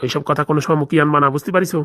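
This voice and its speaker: A woman's voice: sits low, fairly even intonation, rapid-fire, fairly bright tone. A woman voicing a crooked, bossy male village boss in a comedy cartoon, scheming aloud with a rural Bengali accent.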